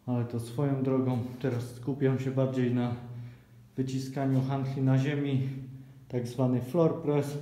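A man's voice: medium pitch, dark tone. A young man chatting casually as he trains in a small indoor gym, explaining his sets to a phone camera. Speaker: vlogger. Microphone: phone camera on a stand. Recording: echoey gym room.

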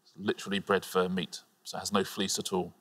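A man co-hosting a studio quiz show, giving dry factual commentary with wry, deadpan asides. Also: sits low, fast, husky. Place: TV studio with audience.

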